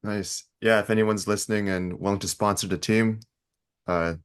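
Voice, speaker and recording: A man hosting a podcast, asking questions casually in a low-key, laid-back manner. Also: steady tone, moderate pace, smooth. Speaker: podcast host. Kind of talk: casual interview chat.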